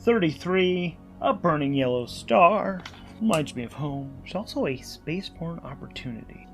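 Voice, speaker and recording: A man's voice: a little high, lively intonation, normal speed, over loud music. A man narrating his solo tabletop role-playing game, thinking aloud in a conversational, musing way as host and player.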